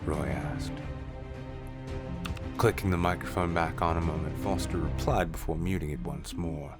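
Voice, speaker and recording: A man's voice: very deep voice, moderate pace, loud music behind the voice. A man narrating a scripted story as its narrator.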